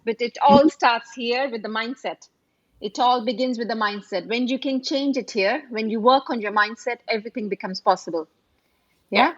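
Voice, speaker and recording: A woman in her forties from the United Kingdom, a business coach talking on a video call from her phone, speaking haltingly and repeating phrases. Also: on the high side. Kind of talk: casual chat on a video call. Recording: muffled phone audio.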